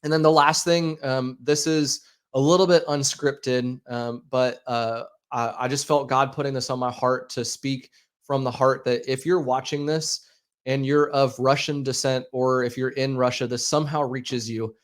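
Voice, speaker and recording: An American man in his thirties hosting a livestream, making an earnest, halting appeal to viewers with many fillers and repeated words. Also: mid-range voice, steady tone. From United States. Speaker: livestream host. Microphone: speaking into a microphone.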